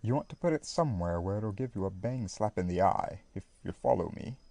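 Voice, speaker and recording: Woman putting on a lanky workman, very deep voice, husky, mellow, dry close sound, blunt easygoing manner. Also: lively intonation, a little fast, no echo, heavily acted. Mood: matter-of-fact. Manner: plain-spoken, casual working-class phrasing.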